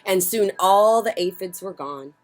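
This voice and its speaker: A woman hosting a children's story time, reading picture books aloud in a theatrical, emphatic way with swells and hushes. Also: mid-range voice, animated, unhurried, faint music behind. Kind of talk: expressive picture-book read-aloud. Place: outdoors on a porch.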